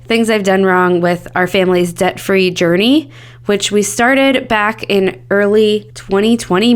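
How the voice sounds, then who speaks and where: Woman host with a scratchy, cold-worn voice. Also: medium pitch. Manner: earnest, candid and upbeat. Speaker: podcast host. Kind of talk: solo podcast talk.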